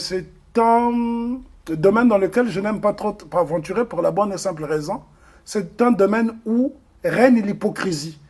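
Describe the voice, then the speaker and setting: Husky high-voiced man, earnest. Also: a little mellow. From Republic of the Congo. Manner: earnest, emphatic with many hesitations. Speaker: guest. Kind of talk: interview discussion.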